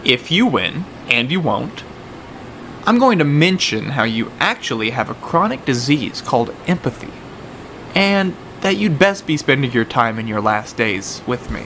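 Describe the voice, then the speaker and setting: A man's voice: mid-range voice, big pitch swings, unhurried, a bit bright, roomy sound, in a large hall, slight background noise, low-quality recording. A man playing a combative young debater who is smitten with his rival in a radio drama. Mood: teasing, exasperated.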